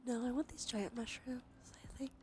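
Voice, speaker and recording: A woman's voice: on the high side, steady tone, normal speed. A woman livestreamer giving whispered ASMR commentary on a game, murmuring as she thinks aloud. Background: music.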